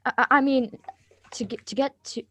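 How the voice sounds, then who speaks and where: A woman's voice: high-pitched, fairly even intonation, normal speed, a bit bright, slight background noise. A woman playing a polite, evasive, bookish schoolgirl in a live radio drama reading, with a hesitant, stammering delivery.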